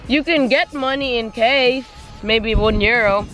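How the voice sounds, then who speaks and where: A hoarse young woman chatting casually in a vlog, rambling with lots of fillers, filmed outdoors on a handheld camera. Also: fairly high pitch, a little slow, a bit bright, low-quality recording. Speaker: vlogger. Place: outdoors on a sunny day. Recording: background music playing under voice.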